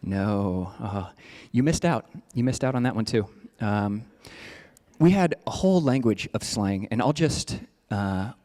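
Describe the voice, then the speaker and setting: A man's voice: lowish voice, a little mellow, dry recording. A man from the United States, a Buddhist monk giving a casual, playful dharma talk. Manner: playful, chatty, breaks into joking slang voices.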